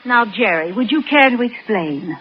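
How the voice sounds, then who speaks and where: A placating middle-aged mother in a vintage radio suspense play. Her highish voice moves expressively as she soothes and gently scolds. The old recording is a bit dull. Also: animated, normal speed, breathy edge, faint music behind.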